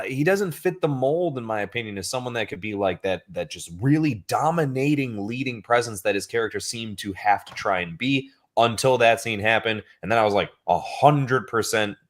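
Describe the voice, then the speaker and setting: A man co-hosting a livestream panel, chatting casually and rambling with fillers over a video call. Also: fairly low pitch. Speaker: co-host of an awards livestream. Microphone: webcam on a video call.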